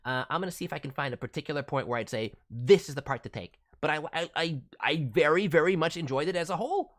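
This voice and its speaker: A man's voice: fairly high pitch, expressive, quick pace, fairly bright tone. Enthusiastic man giving casual critique. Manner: enthusiastic, encouraging. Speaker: voice director reviewing demo reels.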